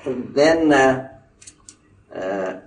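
Older man, halting speaker with many fillers, distant mic, roomy hall, faint noise. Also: mid-range voice, lively intonation. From Northern Ireland. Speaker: missionary speaker. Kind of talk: addressing a conference audience.

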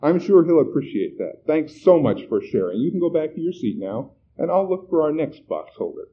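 A man voicing a bright, smiling young male emcee in a storybook reading, upbeat and encouraging with folksy presenter patter. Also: a little high, big pitch swings, normal speed, voice pushed up. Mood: cheerful, encouraging. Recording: muffled recording with background noise.

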